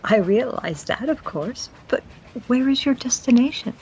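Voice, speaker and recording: A woman's voice: a little high, expressive, moderate pace, darkish tone, a little hiss or hum. A woman playing a polite, puzzled young girlfriend in an audio fantasy drama. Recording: slightly dull, lo-fi sound.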